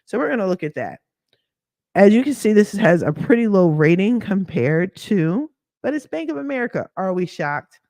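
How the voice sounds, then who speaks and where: A woman whose voice sounds hoarse and deeper than usual from a cough, running a credit repair business and talking through a casual screen-share walkthrough in a candid, slangy way with sassy asides. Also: very animated, normal speed, mellow, no room sound, studio quality.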